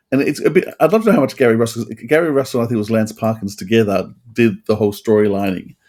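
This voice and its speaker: A man's voice: medium pitch, darkish tone. A middle-aged man hosting a podcast, running through facts and discussing them conversationally. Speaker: podcast host. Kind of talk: conversational trivia rundown and discussion.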